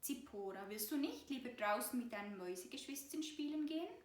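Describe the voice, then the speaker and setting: A woman voicing a gentle, forgetful old grandfather mouse with a hesitant, kindly manner in a children's story. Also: on the high side, animated, brisk, roomy sound, close to an everyday voice. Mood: reluctant.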